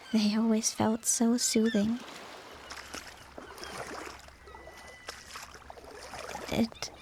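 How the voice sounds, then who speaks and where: A woman playing a tender, nostalgic former mermaid and devoted wife in a soft, unhurried, half-whispered ASMR roleplay. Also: a little high, creaky, slight background noise. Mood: soothed, nostalgic. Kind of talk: ASMR romantic roleplay, softly spoken.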